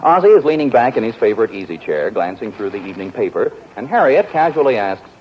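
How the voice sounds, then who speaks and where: A man's voice: on the low side, big pitch swings, fast, a little hiss or hum. A man announcing and narrating a 1940s radio sitcom in an emphatic style. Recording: dull lo-fi 1940s radio broadcast. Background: orchestra music.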